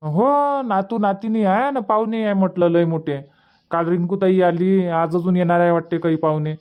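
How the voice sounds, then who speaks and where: A man's voice: high-pitched, moderate pace, smooth, narrow, tinny audio, close to an everyday voice. A man voicing a friendly village brother in a cartoon phone chat, explaining things in colloquial Varhadi Marathi. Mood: friendly, informative. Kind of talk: cartoon comedy dubbing, casual phone chat.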